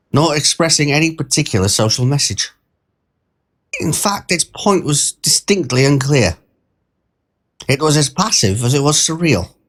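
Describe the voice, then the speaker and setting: A mild-mannered, bemused male train passenger narrating an audio short story. His pitch sits in the middle range. He talks conversationally in wryly formal wording, wandering into pedantic asides.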